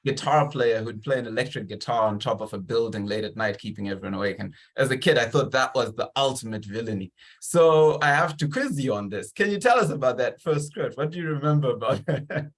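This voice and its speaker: A man's voice: medium pitch, lively intonation, fairly quick, hardly any pauses. A man hosting a conversational interview in an enthusiastic, encouraging manner. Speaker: interview host.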